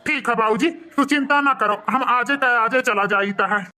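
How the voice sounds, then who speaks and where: A man plays a dutiful young villager in a cartoon, eager to help and respectful to his elders. His voice is bright and artificially raised, with an Awadhi accent. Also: very high voice, moderate pace, exaggerated voice.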